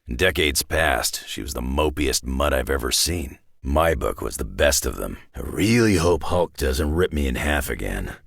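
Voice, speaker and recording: A man voicing a gruff, grizzled, wry mutant brawler from a video game, with a gravelly growl and blunt, laconic, folksy delivery. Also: a bass voice, lively intonation, normal speed, few pauses, a bit bright, no echo, exaggerated voice. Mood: dry, gruff. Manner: blunt, dry, laconic tough-guy delivery with folksy slang. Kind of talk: scripted game character voice lines.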